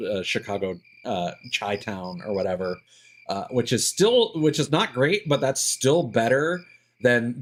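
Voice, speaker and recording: A man giving casual live gameplay commentary, explaining details in a halting way and thinking aloud with asides. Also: fairly low pitch. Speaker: game streamer.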